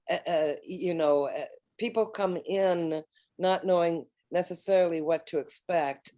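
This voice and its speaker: A woman panelist in an online round table, speaking haltingly with repeated words and many fillers. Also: sits low, mellow. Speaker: educator and panelist. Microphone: video call.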